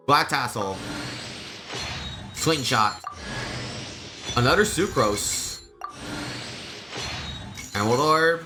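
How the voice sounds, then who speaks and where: A man giving casual live gameplay commentary as a streamer, with mild, low-key, resigned reactions. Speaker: game streamer. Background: game music.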